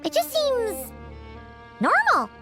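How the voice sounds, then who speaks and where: A woman voicing a tiny childlike female fairy companion in a video game, squeaky, pert and skeptical, with exaggerated delivery. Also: huge pitch swings, takes it slowly, sharp tone, faint music behind, full character voice. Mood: puzzled, doubtful. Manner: pert, exaggerated, refers to self by name. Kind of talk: video game character acting.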